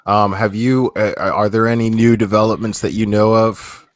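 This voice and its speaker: A man's voice: lowish voice, little pitch movement, moderate pace, no room sound, clean studio sound. A young man hosting a livestream, talking casually and rambling, repeating himself and swearing now and then. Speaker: livestream host.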